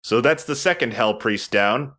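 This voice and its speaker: A man's voice: medium pitch, normal speed, a bit bright. A man hosting a let's play, chatting casually and agreeably about the game as he goes. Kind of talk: casual gameplay commentary.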